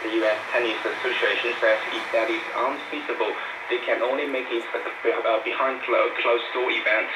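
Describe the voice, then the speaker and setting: A man's voice: medium pitch, steady tone, a little fast. A man presenting scripted news as a radio newsreader, heard over a shortwave broadcast. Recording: noisy, muffled, echoey distant shortwave radio broadcast. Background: loud radio static and noise.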